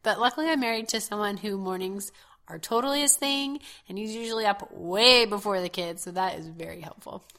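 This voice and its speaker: This is a woman in her thirties with a hoarse, scratchy voice, chatting loosely on a podcast. Her tone stays bright and forward even through the rasp. She laughs often and keeps things easygoing. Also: medium pitch, fairly quick. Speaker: podcast co-host. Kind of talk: casual chat.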